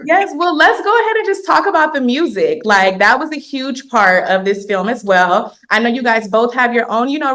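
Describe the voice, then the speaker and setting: A woman hosting a casual interview over a video call, affirming her guests and laughing along. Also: a little high. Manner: warm, affirming, quick to laugh. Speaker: interviewer, host.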